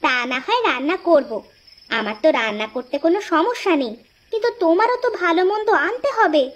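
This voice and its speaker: Woman acting a very shrill, fussing village housewife. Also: animated, fairly quick, dull audio. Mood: teasing. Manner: fussing, scolding affectionately. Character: village housewife and mother, caring, teasing, quick to argue. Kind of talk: Bengali cartoon dialogue acting.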